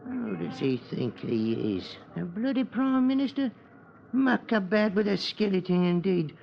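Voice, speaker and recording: A grumbling working-class Londoner in a vintage radio drama. His voice is high and crackly, full of indignation, on a muffled old recording. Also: very animated, measured pace, creaky, exaggerated voice. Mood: indignant. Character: grumbling working-class London man digging Blitz rubble. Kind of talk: 1950s radio drama acting.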